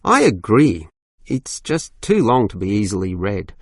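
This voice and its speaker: Male student played by a man, young, blunt complainer, animated intonation with vocal fry, scripted delivery. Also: on the low side, a little slow, no room sound, clean studio sound, exaggerated voice. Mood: grumbling, blunt. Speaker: student in a listening test dialogue. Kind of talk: scripted exam dialogue acting.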